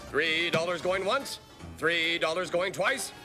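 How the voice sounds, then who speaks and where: A man voicing a brisk, showy charity auctioneer in a children's cartoon. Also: on the high side, music in the background, close to an everyday voice.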